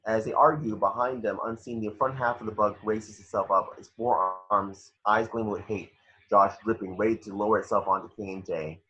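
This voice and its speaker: A man's voice: on the low side, fairly even intonation, normal speed, pushed and bright, slightly rough. A man reading scripted action descriptions as the narrator of an amateur table read. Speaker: stage direction narrator. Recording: dull lo-fi home recording.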